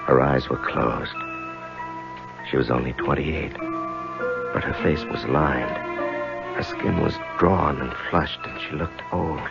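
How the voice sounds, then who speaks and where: An actor playing a weary, guilt-torn husband in a 1970s radio drama, brooding and murmuring. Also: male voice, rumbling low, takes it slowly, gravelly, pauses often, full character voice. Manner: brooding first-person narration and drowsy murmurs. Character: weary, guilt-torn husband nursing his dying wife. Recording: dull old radio recording, loud music.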